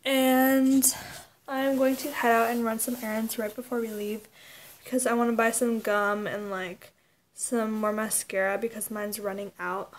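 A woman's voice: fairly high pitch, flat delivery, measured pace, slight background noise. A young woman chatting casually to a vlog camera in an informal, hesitant way with trailing phrases. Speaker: vlogger. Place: indoors, bedroom and hotel suite.

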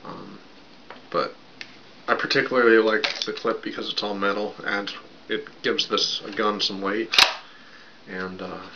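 A raspy man in his thirties rambling casually at his webcam in a small bedroom, with lots of fillers while he drinks. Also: lowish voice, flat delivery, a little slow, pauses often. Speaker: vlogger. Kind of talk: casual show-and-tell vlog. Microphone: webcam at desk distance. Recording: dull lo-fi sound with background noise.

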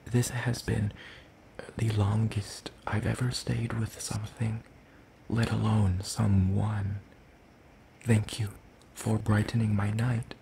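A man playing a lanky, ancient, world-weary demon in a soft ASMR roleplay, speaking in a hesitant, breathy murmur with formal wording. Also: fairly low pitch, flat delivery, slow, slight background noise, low-quality recording. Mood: gentle, fond.

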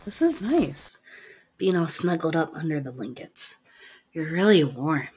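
A woman playing a caring, gently bossy partner in an ASMR roleplay, coaxing and affectionate but firm with the listener. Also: sits low, animated, a little slow, slight background noise. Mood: tender.

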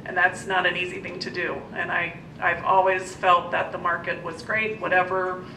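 A woman's voice: little pitch movement, normal speed. Middle-aged American woman, level delivery, speaking at a civic podium. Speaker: local business owner speaking at public comment. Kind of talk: public comment speech. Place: indoor council meeting room. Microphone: gooseneck podium microphone. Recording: roomy, distant mic, noisy rumble.

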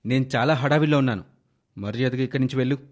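A gruff, short-tempered father from a storybook, curt and brusque, as a male narrator plays him. He bites off his words, stubborn and impatient. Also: medium pitch, rapid-fire, natural voice. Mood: angry.

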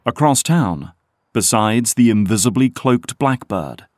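A man's voice: medium pitch, expressive, unhurried, a little mellow, no echo. A man narrating an action audio drama from a script.

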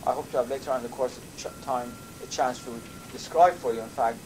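An older man, a native Persian speaker from Iran, giving a measured university lecture with thoughtful pauses. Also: medium pitch, fairly even intonation. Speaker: professor. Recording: lecture recording with audible background noise.

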